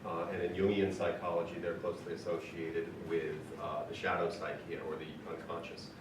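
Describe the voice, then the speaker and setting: Hoarse guy w a deep voice talking about his paintings, lots of ums, far off in a noisy echoey room. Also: flat delivery, moderate pace. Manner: hesitant, many ums and uhs. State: hoarse from a cold. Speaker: artist presenting his paintings. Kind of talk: casual gallery talk. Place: event room with audience.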